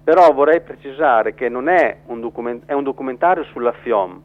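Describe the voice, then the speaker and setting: A man being interviewed as a guest, explaining haltingly and repeating words, over a narrow phone line. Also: mid-range voice, lively intonation. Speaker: metalworker, journalist and documentary co-director, interview guest.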